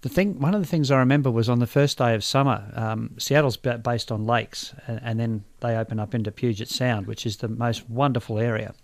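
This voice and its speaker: A man in his forties with an Australian accent, a doctor talking as a guest in a radio studio, relaxed and thoughtful with frequent fillers. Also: mid-range voice, brisk, hardly any pauses, dry recording. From Brisbane, Australia. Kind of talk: radio interview. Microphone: studio microphone.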